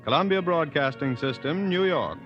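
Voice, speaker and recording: A man's voice: a little high, animated, normal speed. A man making formal announcements as a 1940s radio network announcer. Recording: dull old radio broadcast over music.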